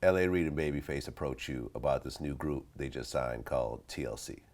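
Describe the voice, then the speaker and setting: A man's voice: extremely low pitch, a little fast, darkish tone. A man with an American accent asking questions casually as the host of an interview.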